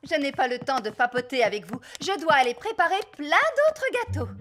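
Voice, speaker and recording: A high-voiced cartoon fairy queen, regal and bossy with a chirpy edge. Her intonation is lively, and music plays clearly behind her. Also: a little fast. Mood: brisk, coaxing. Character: bossy, chirpy fairy queen who bakes, easily offended. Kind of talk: French cartoon dubbing.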